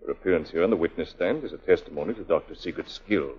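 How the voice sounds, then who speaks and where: Casting: stern male judge, low husky voice, formal radio-play delivery, muffled vintage sound. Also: quick pace. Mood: formal, firm. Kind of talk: 1940s radio drama acting. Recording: muffled old 1940s radio recording.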